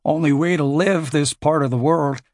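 A man's voice: fairly high pitch, moderate pace, darkish tone, no room sound, studio quality, voice pushed up, natural voice. A man voicing a burly, crude American ex-marine security contractor with an American accent. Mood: brash. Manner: crude, swaggering, profane.

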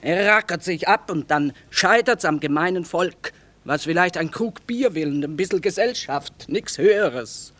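A woman's voice: lowish voice, animated, moderate pace, a bit bright, no room sound. A tough, unsentimental female war trader. She talks in an earthy, dry, matter-of-fact way, in classic radio drama style. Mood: wry, reflective. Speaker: actor in a radio play.

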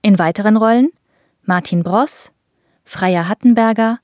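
Slow, dry-sounding woman announcer. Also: mid-range voice, darkish tone, no room sound. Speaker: credits announcer of a radio drama. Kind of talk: measured scripted announcement.

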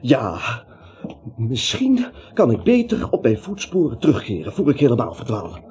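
A man's voice: medium pitch, wildly swooping pitch, normal speed, mellow, slightly rough, full character voice. A male actor playing an anxious, timid little man in a radio play, jittery, rambling and stammering. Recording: dull, slightly noisy radio recording.

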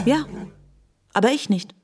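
A woman's voice: fairly high pitch, lively intonation, measured pace, a little mellow. An actress playing a cool, sceptical ex-wife in a radio drama, speaking curtly and dryly, on her guard. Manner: curt, dry, guarded. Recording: music under the voice. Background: music.

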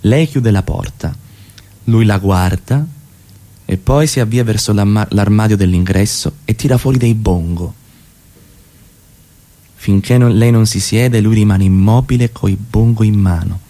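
Mellow male radio-play narrator. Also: on the low side, unhurried. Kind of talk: scripted stage-direction narration.

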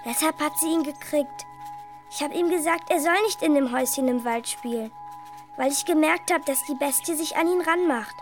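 Actress doing a high-voiced little girl, secretive, clingy, childlike confiding, radio drama, faint music. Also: moderate pace, natural voice.

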